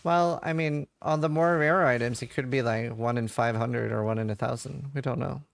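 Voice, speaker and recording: A man's voice: medium pitch, steady tone, normal speed, creaky, no echo. A man from Norway, casually chatting and thinking aloud while he streams a game and answers chat questions.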